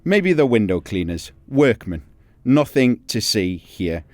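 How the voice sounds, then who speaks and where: A man's voice: lowish voice, very animated, measured pace, a little mellow, no echo. A man with a Geordie accent, a crime journalist hosting a podcast, telling the story dramatically and chatting with jokes. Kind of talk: scripted narration then casual chat.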